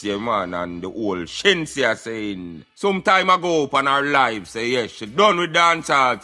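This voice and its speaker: A Jamaican man hosts a radio show in an animated, bright and forceful voice. He talks casually in patois, peppering his monologue with affirmations, while music plays behind him. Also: medium pitch. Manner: patois, repeated "yeah man" interjections.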